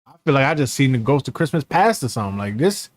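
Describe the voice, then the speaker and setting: A man's voice: medium pitch, big pitch swings, quick pace, dry recording. A man in his thirties riffing over a video he's watching, his voice bouncing up and down as he teases and jokes in easygoing slang. It sounds close and dry, with no room around it. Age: 30s. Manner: mocking, laid-back banter with slang. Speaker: streamer reacting to a video. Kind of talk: casual reaction commentary.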